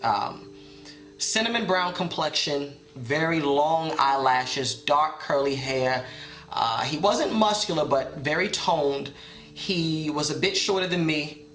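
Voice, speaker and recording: A young man telling a personal story in a soft, reflective way, with many pauses and fillers. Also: fairly high pitch, lively intonation, echoey room, soft background music.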